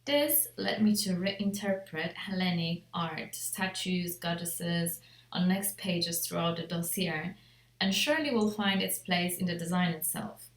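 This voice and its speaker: A woman reads a scripted project presentation as an interior designer, in a measured, formal way. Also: lowish voice. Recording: echoey untreated room.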